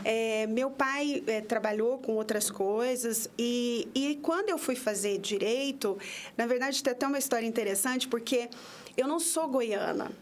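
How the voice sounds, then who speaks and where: A woman in her fifties with a fairly high voice talks as a podcast guest, hesitant and full of restarts as she looks back on her career. A faint hiss of noise sits under her voice. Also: moderate pace. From interior of São Paulo state, Brazil. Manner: hesitant, many restarts and fillers. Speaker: public prosecutor, podcast guest. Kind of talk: conversational interview.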